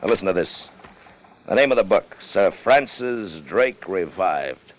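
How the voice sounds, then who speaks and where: An actor playing a hard, cunning first officer on a whaling ship in clipped, tough 1950s radio drama style. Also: male voice, sits low, animated, unhurried, a little hiss or hum. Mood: menacing, demanding. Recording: muffled old radio recording with music.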